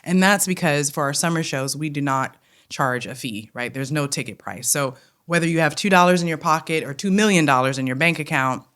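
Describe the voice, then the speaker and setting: A woman's voice: sits low, a little fast, fairly bright tone. A woman from Detroit with a Detroit accent, speaking as a guest in a casual interview, earnest and flowing, often checking in with "right?". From Detroit, Michigan. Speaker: guest, theatre company head.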